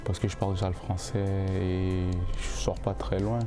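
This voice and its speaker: A young male football player answering interview questions in a casual, halting way, often repeating words while he looks for the phrasing. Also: sits low, completely flat delivery, over music. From born in the United States, raised in Canada. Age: young adult.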